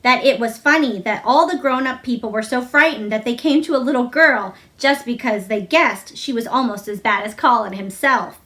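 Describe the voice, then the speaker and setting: A woman reading a children's novel aloud as narrator, in an expressive storytelling style. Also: on the high side, moderate pace, hardly any pauses. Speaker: storybook reader.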